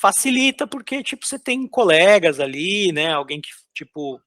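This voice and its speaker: A man from São Paulo, a concept artist, chatting casually in an interview while drawing, with loose, halting speech full of fillers. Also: sits high, very animated, bright, forceful tone, dry recording, studio quality. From São Paulo, Brazil. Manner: loose, hesitant, lots of filler words and restarts.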